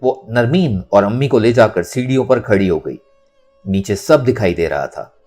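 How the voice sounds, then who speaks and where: A man narrating a novel in a dramatic, expressive storytelling style. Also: medium pitch, moderate pace, faint music behind. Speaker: audiobook narrator.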